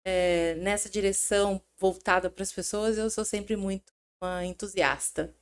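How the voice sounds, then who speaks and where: A middle-aged woman from São Paulo, a podcast guest telling stories in an animated, chatty way. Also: mid-range voice, measured pace, smooth. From São Paulo, Brazil. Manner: animated, chatty, self-interrupting storyteller. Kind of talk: casual interview chat.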